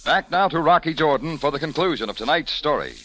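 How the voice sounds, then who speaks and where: A man's voice: on the high side, lively intonation, fast talker, low-quality recording. An American man announcing and reading advertising copy in mid-century radio style. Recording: old radio recording with music.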